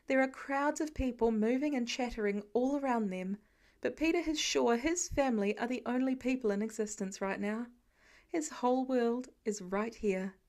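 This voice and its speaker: A woman's voice: fairly high pitch, normal speed, a little mellow. A woman narrating a scripted story as an amateur audiobook reader.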